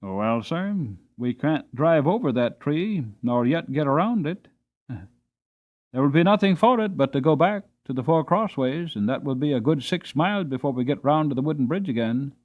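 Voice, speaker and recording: A man's voice: fairly high pitch, lively intonation, moderate pace, no room sound, natural voice. A man plays a loyal storybook groom, earnest and practical. He speaks deferentially, with the voice raised somewhat, in a slightly dull recording. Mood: practical, concerned. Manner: earnest, deferential to his master.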